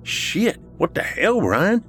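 A man's voice: lowish voice, sing-song, unhurried, faint music behind, pitched above the performer's own voice. A man playing a worried, loyal friend in a horror story, earnest and colloquial, easily exasperated. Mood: startled, exasperated. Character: worried, loyal male friend, rattled but practical. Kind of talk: audiobook character dialogue, horror story.

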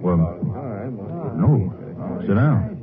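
Very deep-voiced, guarded rancher. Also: male voice, animated, crowd noise. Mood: wary, uneasy. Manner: halting, evasive. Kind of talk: 1950s radio western acting. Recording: tinny 1950s radio recording, music behind.

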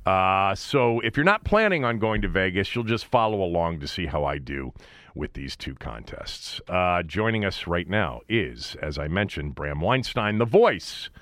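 A man's voice: sits low, very animated, measured pace, a bit bright, dry recording, studio quality. A man hosting a sports radio show in a casual, conversational way, often breaking off into asides.

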